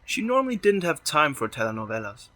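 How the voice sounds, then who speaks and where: A man's voice: a little high, sing-song, fast talker, pushed and bright. A man narrating a scripted story as the narrator of an audio drama.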